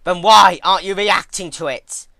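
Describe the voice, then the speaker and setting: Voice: medium pitch, steady tone, moderate pace, vocal fry, pushed and bright, dry recording, pitched above the performer's own voice. A performer voicing a furious young female pony tyrant in emphatic, accusing outbursts for a dramatic story reading. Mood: frustrated disbelief.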